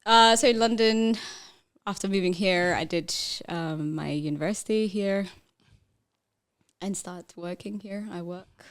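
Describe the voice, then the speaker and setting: A woman's voice: mid-range voice, measured pace. A young to thirties woman from Ethiopia, chatting casually as an interview guest and often breaking into laughter. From Ethiopia, later the Netherlands. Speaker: podcast guest.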